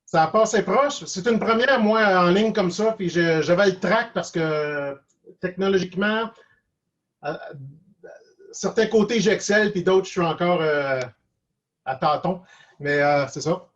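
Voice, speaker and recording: Casting: male interview guest, Quebec French accent, slightly high voice, hesitant delivery, dull lo-fi call audio. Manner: hesitant, halting, repeats words. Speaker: guest cartoonist and animator. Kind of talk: casual online interview, reflective advice. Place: video call.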